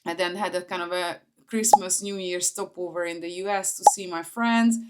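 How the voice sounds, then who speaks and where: Woman, 40s, Hungarian accent, fairly low voice, informal personal vlog storytelling. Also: steady tone, moderate pace, heavy background noise. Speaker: vlogger, entrepreneur.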